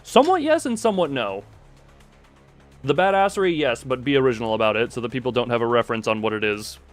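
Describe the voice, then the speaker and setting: A man's voice: mid-range voice, expressive, fast. A man casually commentating a live game stream, rambling and thinking aloud, often stumbling on the starts of his words. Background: game music.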